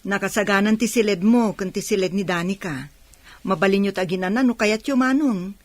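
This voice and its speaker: A woman's voice: mid-range voice, fairly quick, dry recording, lightly acted. A woman playing a welcoming middle-aged aunt in a radio drama.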